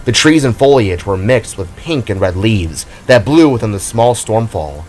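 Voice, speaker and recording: Man, low voice, scripted fantasy narration, dramatic storyteller, background music. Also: expressive, moderate pace, a little mellow, rough recording. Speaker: narrator of an amateur audio drama. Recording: music under the voice.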